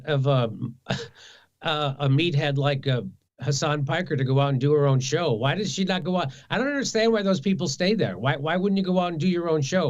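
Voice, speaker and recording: A man speaking as a guest in a played interview clip, halting and stammering before building into a rant of repeated questions. His voice sits a little high. The audio sounds dull and lo-fi. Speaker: comedian and commentator guest.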